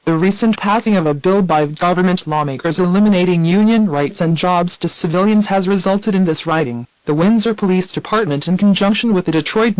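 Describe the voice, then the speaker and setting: High-pitched man reading an emergency bulletin. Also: no echo, poor audio.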